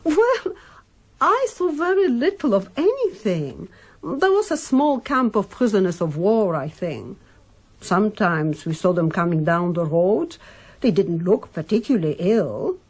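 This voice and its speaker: Husky, lively mother. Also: mid-range voice, a little slow. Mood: defensive, uneasy. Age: middle-aged. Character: proud, defensive middle-aged German mother, genteel. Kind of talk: radio drama acting.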